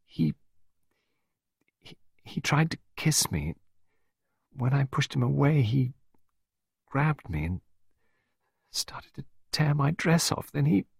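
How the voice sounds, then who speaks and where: A man's voice: sing-song, slow pace, creaky, long pauses, breathy edge, no echo, exaggerated voice. A man voicing a distraught young girl in an audiobook, pleading and close to tears, with desperate outbursts. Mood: halting, distressed. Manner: crying, shrill outbursts.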